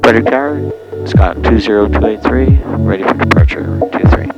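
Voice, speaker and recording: A man's voice: fairly low pitch, a little fast, dull audio. A man, a pilot under instruction, talking casually and making radio calls on cockpit audio. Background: loud electronic music.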